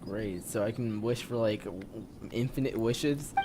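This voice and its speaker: A man's voice: on the low side, unhurried, very noisy. A man giving casual, offhand gameplay commentary as a streamer. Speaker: game streamer. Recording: loud game music behind the voice.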